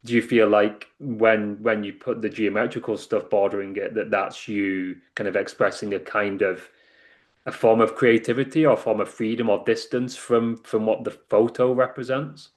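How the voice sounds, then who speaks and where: A man moderates a panel talk in a fairly low voice. He asks long, thoughtful questions that wind through several qualifications. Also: level tone, normal speed. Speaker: philosophy lecturer, moderator.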